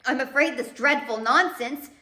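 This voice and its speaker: Storybook woman judge voiced by a female reader, distressed, pleading, bright voice, echoey room. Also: high voice, moderate pace, pitched above the performer's own voice. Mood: regretful.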